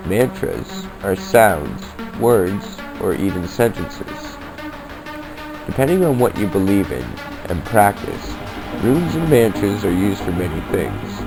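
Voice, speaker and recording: A man hosting a podcast, reading scripted narration in an earnest, deliberate manner over a basic amateur recording. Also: lowish voice, gravelly, rough recording. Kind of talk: scripted narration on the paranormal in old-time radio format. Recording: basic amateur equipment, music underneath.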